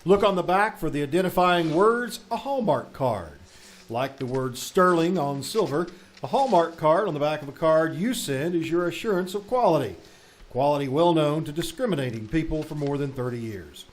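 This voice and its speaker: A man's voice: a little high, animated, normal speed, close to an everyday voice. A man working as a radio announcer, reading a sponsor message and story narration in 1940s style. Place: small theater stage. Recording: live stage recording, background noise.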